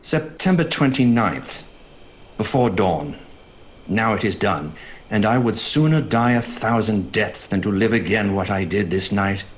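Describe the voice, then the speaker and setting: An actor playing a sceptical, fearful doctor in a gothic audio drama, speaking in an earnest, dramatic way. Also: male voice, lowish voice, lively intonation, unhurried. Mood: haunted. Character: haunted male doctor, sceptical and fearful, recounting horrors. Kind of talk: gothic audio drama diary narration and dialogue. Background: music.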